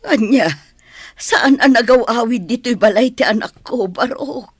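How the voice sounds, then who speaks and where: A woman's voice: a little high, measured pace, slightly rough, no room sound. A woman playing an anxious older mother, fretful and questioning, in a Filipino audio drama.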